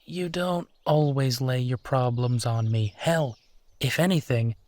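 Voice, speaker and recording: A man's voice: medium pitch, a little mellow, no echo, close to an everyday voice. A man playing a supportive, affectionate husband in an intimate comfort roleplay, talking in a reassuring, conversational way. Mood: gentle, supportive, then playful. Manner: reassuring, conversational, unhurried pauses. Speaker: audio roleplay performer.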